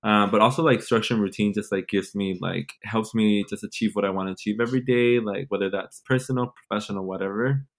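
Man, twenties, lowish pitch, casual podcast guest, playful, slangy, informal. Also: fairly even intonation, quick pace, few pauses. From born in Mexico, grew up in Los Angeles and Nashville. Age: 20s. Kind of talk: casual conversational interview.

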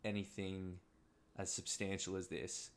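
A man's voice: low-pitched, level tone, unhurried. A man from Australia chatting casually as a playwright guest on a podcast. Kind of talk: casual chat.

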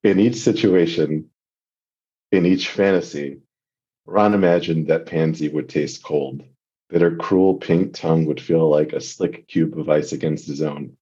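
Man, very low and rough-edged, podcast guest reader, unpolished casual delivery, muffled call audio. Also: unhurried, warm tone. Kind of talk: casual chat and amateur read-aloud of fan fiction. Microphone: remote video call.

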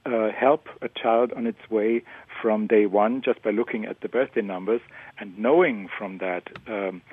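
A man whose first language is German, a guest author on a call-in show, talking in a halting way with frequent restarts, jet-lagged. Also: on the low side, husky, no echo. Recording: tinny phone line.

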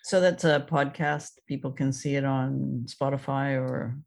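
A woman's voice: very deep voice, fairly even intonation, unhurried, vocal fry. A woman hosting a podcast, talking casually with a guest as the interviewer.

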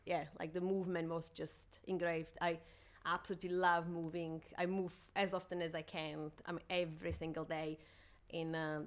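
Female podcast guest, thirties, from Slovakia, somewhat low pitch, relaxed conversational interview answers. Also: moderate pace. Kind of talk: casual interview chat.

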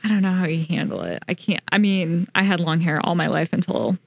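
A woman co-hosting a casual podcast, chatty and quick to laugh. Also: lowish voice, expressive, gravelly, no echo, lo-fi sound. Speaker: podcast co-host. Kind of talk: casual chat.

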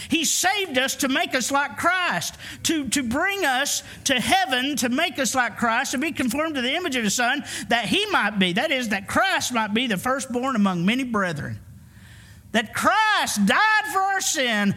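A man's voice: very high-pitched, bright, forceful tone. A man, preaching a sermon emphatically and calling for answers from the congregation. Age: 50s. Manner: emphatic, call-and-response preaching. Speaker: pastor. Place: church.

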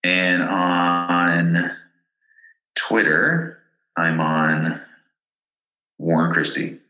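A man's voice: low voice, very slow, pushed and bright, reverberant, rough recording. A man raised in Canada, a podcast guest, talking earnestly and with enthusiasm, often restarting and repeating words. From born in Ireland, raised in Ontario, Canada. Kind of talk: casual interview chat.

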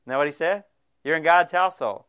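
A man's voice: fairly high pitch, quick pace, fairly bright tone, clean tone. A male pastor preaching a sermon, earnest and direct, addressing the congregation with frequent rhetorical questions.

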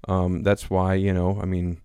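A man in his thirties with a very deep, loud voice that carries, speaking casually as a pastor on a podcast. He rambles, full of fillers and restarts. The sound is dry and close. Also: monotone, unhurried, smooth, no echo. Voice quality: loud, carrying voice. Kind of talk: casual conversation. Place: small home studio.

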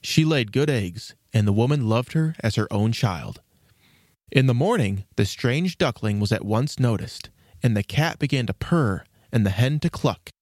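A man's voice: mid-range voice, expressive, moderate pace, a little mellow, no room sound. Man narrating a storybook, dry sound.

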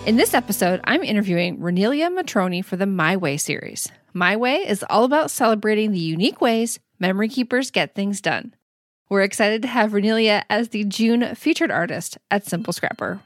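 A woman's voice: mid-range voice, lively intonation, a little fast, no room sound. A woman hosting a podcast, reading a polished intro and then asking interview questions in an upbeat way. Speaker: podcast host.

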